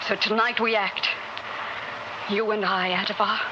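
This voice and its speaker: A woman's voice: mid-range voice, level tone, measured pace, close to an everyday voice. A woman playing a zealous young rebel of a future world, speaking with urgent, declamatory intensity in an old radio drama. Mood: bitter, persuasive. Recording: dull, noisy old radio drama recording.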